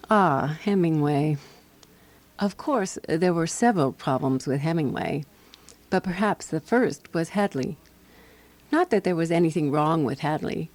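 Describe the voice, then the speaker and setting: Radio drama narrator: prim, watchful woman companion, lowish voice, dry and deliberate, quietly jealous. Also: expressive. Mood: dry, wry.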